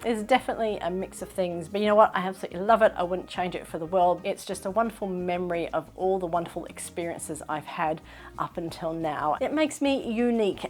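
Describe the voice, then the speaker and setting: A woman in her thirties with a mainly Australian accent touched by British and American sounds, chatting upbeat in a sewing Q&A vlog. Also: lowish voice. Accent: Australian with British and American traces. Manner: chatty, upbeat, self-reflective. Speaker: sewing vlogger. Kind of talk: casual Q&A vlog.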